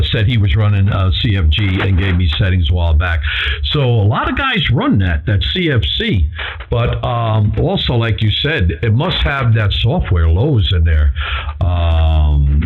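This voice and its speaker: Male ham radio operator, low and husky, loose rambling chat, narrow radio-band sound. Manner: rambling, mildly salty exclamations. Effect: ham radio transmission. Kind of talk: casual on-air radio chat.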